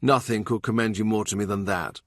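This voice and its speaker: A man's voice: fairly low pitch, fairly even intonation, fairly quick, no room sound, clean studio sound. A man voicing a commanding, middle-aged English king from a historical audiobook, with an imperious, emphatic delivery. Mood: grave, resentful.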